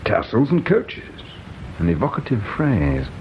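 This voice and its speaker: A shrewd middle-aged English inspector, low-pitched with widely swinging intonation. He is dry, patient and probing, musing his way through a radio play. The sound is slightly muffled and noisy. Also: wildly swooping pitch, exaggerated voice. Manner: measured, probing, dry, thoughtful. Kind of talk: radio drama acting.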